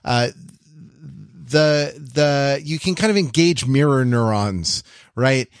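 A man co-hosting a podcast, discussing film casually and analytically in a halting way, often repeating words as he works out his ideas. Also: mid-range voice, lively intonation, clean tone, no room sound, studio quality. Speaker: podcast co-host.

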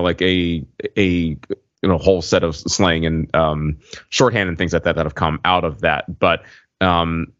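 A man co-hosting a podcast in casual conversation, halting and circling his point with lots of "like" and "you know". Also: deep voice, a bit bright, dry recording. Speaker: podcast co-host. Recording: muffled, lo-fi voice recording.

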